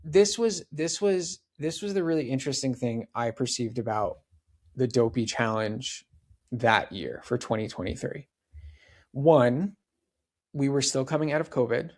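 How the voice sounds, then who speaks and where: A man's voice: medium pitch, measured pace, darkish tone. A man in his forties, a podcast guest, chatting casually and dropping lots of "like" and "right?" asides. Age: 40s. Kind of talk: casual interview chat.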